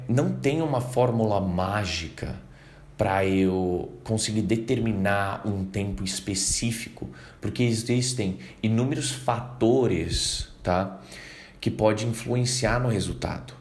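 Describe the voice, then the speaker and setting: Brazilian male English teacher, slightly low voice, lively casual lesson delivery. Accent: Brazilian Portuguese. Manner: animated, acts out learners' reactions, reads English lines slowly.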